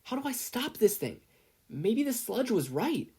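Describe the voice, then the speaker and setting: A man's voice: very high voice, sing-song, unhurried, mellow, slightly rough, pitched above the performer's own voice, full character voice. A man voicing an anxious, earnest fourteen-year-old boy who stammers and gets flustered in an audiobook story. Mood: frantic. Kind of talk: audiobook character voice.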